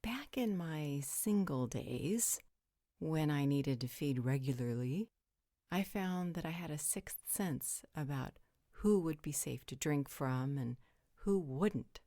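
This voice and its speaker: A woman's voice: low-pitched, animated, slow pace, warm tone, no echo, studio quality, heavily acted. A woman playing a frank, teasing, worldly supernatural guardian who speaks bluntly in a roleplay audio drama. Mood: calm, reasoning.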